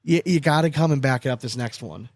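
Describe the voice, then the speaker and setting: Man, co-host, middle pitch, dry close sound; animated, blunt, swears freely. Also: fast, no echo. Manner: animated, opinionated, swears often. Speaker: podcast co-host. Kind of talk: casual sports chat.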